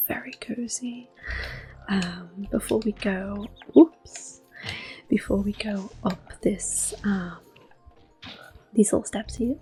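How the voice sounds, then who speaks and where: A woman in her thirties giving soft-spoken, whispered ASMR commentary while playing a video game, gentle and unhurried. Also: medium pitch. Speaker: ASMR gaming host. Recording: soft game music in background.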